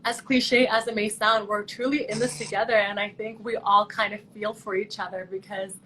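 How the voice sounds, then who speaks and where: A woman's voice: medium pitch, little pitch movement, normal speed, sharp tone, slight background noise, low-quality recording. A young woman from Canada, a pageant contestant, chatting earnestly and thoughtfully over a video call. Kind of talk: casual video call chat.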